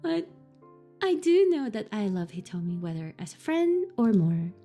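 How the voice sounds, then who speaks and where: A woman's voice: mid-range voice, big pitch swings, moderate pace, mellow, faint music behind. A woman streamer chatting playfully and laughing often while commenting on a game. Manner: giggly, playful.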